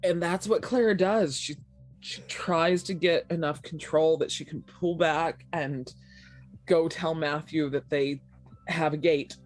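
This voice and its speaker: A woman's voice: low-pitched, unhurried, soft background music. A woman narrating her character's actions in a tabletop game, intense and searching, repeating her phrases. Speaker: tabletop player.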